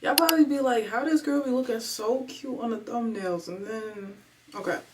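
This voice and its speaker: A woman's voice: a little high, moderate pace, over music. A woman in her twenties talking casually and candidly in a home vlog, rambling and slangy, emotional and fighting back tears. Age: 20s. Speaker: vlogger. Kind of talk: casual confessional vlog talk. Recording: voice a bit far from the mic.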